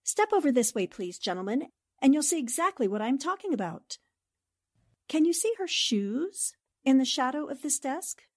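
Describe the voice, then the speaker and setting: Woman acting a poised, higher-voiced lady reporter. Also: sing-song, a little mellow, no echo, studio quality. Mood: confident. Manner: polite, precise, deliberate questioning. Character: poised, sharp-eyed, inquisitive woman newspaper reporter. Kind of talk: 1940s radio drama acting.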